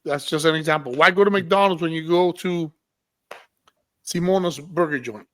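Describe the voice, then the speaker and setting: A man's voice: a little high, moderate pace. A man hosting a casual interview show, emphatic, repeating his points and checking in with listeners. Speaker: show host.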